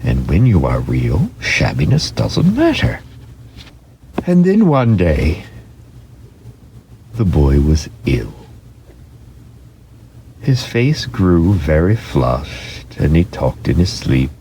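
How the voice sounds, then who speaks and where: A man giving a dramatic storybook narration in an expressive, deliberate way. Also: rumbling low, slight background noise.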